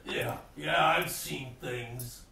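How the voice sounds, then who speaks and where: A man playing an ancient, bitter, derelict coal tower in a radio play, with a gravelly voice and a grim, ominous way of speaking. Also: medium pitch, sharp tone, exaggerated voice. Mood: world-weary. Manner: grim, slow, ominous monologue. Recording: noisy, echoey, distant microphone.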